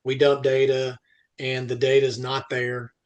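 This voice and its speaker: A man in casual podcast conversation about his trade, talking in a halting, emphatic way and often repeating himself. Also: medium pitch, completely flat delivery. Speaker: podcast co-host. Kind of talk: conversational industry podcast talk.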